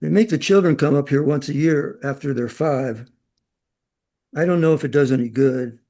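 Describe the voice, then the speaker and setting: A man's voice: on the high side, normal speed, warm tone. A man acting in a radio drama as a chatty, knowing, worldly man with a breezy, opinionated way of talking.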